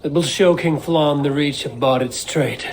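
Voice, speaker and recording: An actor playing a composed, purposeful Viking warrior hero in a video game, speaking in a measured, direct way. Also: male voice, on the high side, natural voice. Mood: curious, direct. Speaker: game protagonist. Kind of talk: video game cutscene acting.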